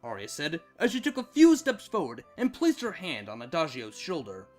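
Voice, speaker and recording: An expressive narrator reads a story from a script. The pitch sits in an ambiguous middle register and moves with lots of variety. Faint music runs behind the reading. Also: normal speed. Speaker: narrator, fan fiction reader.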